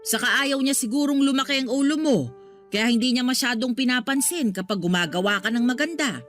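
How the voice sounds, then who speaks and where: A protective middle-aged mother in a radio drama. She speaks a little high, patient and reassuring yet firm, over faint music. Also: a little fast. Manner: soothing, gently scolding. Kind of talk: Tagalog radio drama acting.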